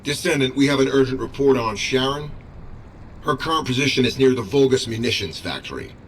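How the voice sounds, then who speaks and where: A formal male soldier, voiced by an actor for a game cutscene, mid-pitched and clipped. He sounds set back from the mic, with faint rumble behind. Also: animated, fairly quick, recorded from a distance, natural voice. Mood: formal, urgent. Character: dutiful male special-forces soldier reporting in. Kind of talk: scripted game cutscene acting.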